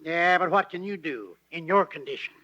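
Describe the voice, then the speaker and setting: An actor with an American accent playing a flustered, folksy stagecoach driver in a 1940s radio western. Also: male voice, on the high side, normal speed, sharp tone, muffled recording. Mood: worried, reproachful. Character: jittery, simple, good-hearted stagecoach driver in Old West. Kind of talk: 1940s radio drama acting.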